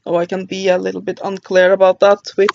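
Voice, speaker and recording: A woman with a Norwegian accent reviews a book, reading through its contents in a halting, casual way with many pauses and stumbles over names. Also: medium pitch, monotone, vocal fry, a little mellow, highs cut off, slight background noise.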